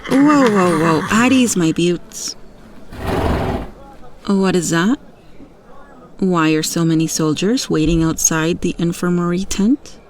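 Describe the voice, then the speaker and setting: A woman playing a loyal, solemn royal handmaiden in a fantasy audio roleplay, speaking gravely in formal, archaic phrasing. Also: fairly low pitch, slow, pauses often, poor audio. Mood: urgent. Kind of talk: fantasy ASMR roleplay acting.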